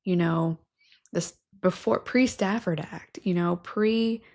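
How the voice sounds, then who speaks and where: A woman's voice: on the low side, takes it slowly, a little mellow. A woman in her thirties co-hosting a casual podcast, earnest and enthusiastic, talking into a studio mic in an indoor room. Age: 30s. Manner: earnest, enthusiastic, self-described nerd. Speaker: podcast co-host. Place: indoor room with patterned wallpaper. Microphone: studio mic on stand, wearing headphones.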